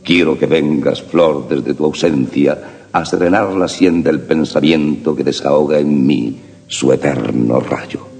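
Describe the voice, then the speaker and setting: A man reciting love sonnets in a slow, solemn, measured delivery. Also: very deep voice, steady tone, gravelly, darkish tone. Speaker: poetry reciter. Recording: long reverb with harp music behind.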